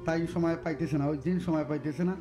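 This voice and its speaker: A man speaks as an interview guest, explaining in an instructive way. His voice is a little high and he talks fast, often restating a point. Music is clearly audible behind him. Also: steady tone. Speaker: guest folk healer.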